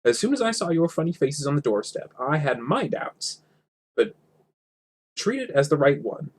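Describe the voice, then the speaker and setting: A man voicing a small, prim gentleman hobbit from a storybook in a huffy, dignified manner. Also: a little high, sing-song, normal speed, breathy edge, voice pushed up. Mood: indignant, dignified.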